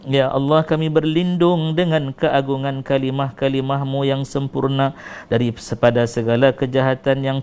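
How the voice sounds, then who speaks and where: A man's voice: medium pitch, darkish tone, clear, resonant tone. Malaysian man pleading in prayer. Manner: pleading, rising and falling recitation with repeated invocations. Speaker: imam leading a prayer. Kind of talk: emotional supplication prayer.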